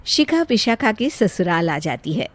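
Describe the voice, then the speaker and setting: A woman's voice: mid-range voice, big pitch swings, a little slow. A woman narrating a scripted story as a storyteller.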